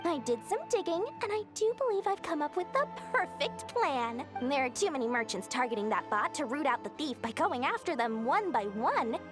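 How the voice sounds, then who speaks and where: A woman's voice: high voice, animated, moderate pace, hardly any pauses. An actress playing a scheming, greedy merchant woman with a wheedling sales patter, for a video game. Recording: game music behind the voice.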